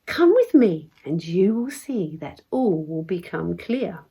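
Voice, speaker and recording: An excitable, kindly little storybook fairy acted out by a woman, gushing in exclamations. The delivery leaps and dips in pitch, with a slightly husky edge. It is unhurried, as verse for children is. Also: full character voice. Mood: reassuring.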